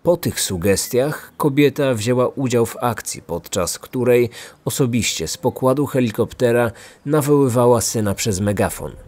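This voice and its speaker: A man's voice: mid-range voice, moderate pace, a little mellow. A man narrating a scripted true-crime podcast as its host. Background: faint music.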